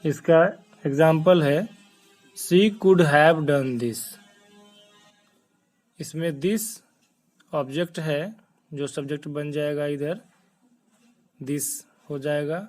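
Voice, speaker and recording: An Indian man giving a grammar lesson in a slightly high voice. He speaks extremely slowly and deliberately, laying out each part one step at a time, with English terms mixed in. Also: steady tone, a little mellow, faint music behind.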